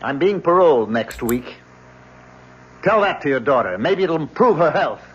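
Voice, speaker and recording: An American actor playing an embittered, defiant young convict in an old radio drama, with a sarcastic, mocking delivery. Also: male voice, on the high side, big pitch swings, moderate pace. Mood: bitter accusation. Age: young adult. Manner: sarcastic, sneering. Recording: muffled, faintly hissy old radio recording.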